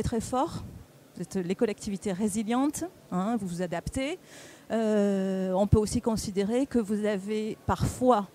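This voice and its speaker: Mellow conference speaker, halting and didactic. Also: medium pitch, a little hiss or hum. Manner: halting, with frequent hesitations, repeats and self-corrections. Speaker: conference speaker presenting on local government finances. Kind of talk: public presentation at a round table.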